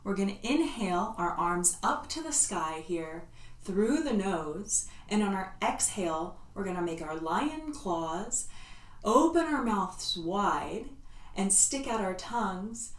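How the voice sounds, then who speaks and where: A woman leading a yoga class, giving calm, soothing step-by-step cues. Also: mid-range voice, slow pace. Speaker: yoga instructor. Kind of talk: guided yoga class instruction. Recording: roomy echo, voice from a distance.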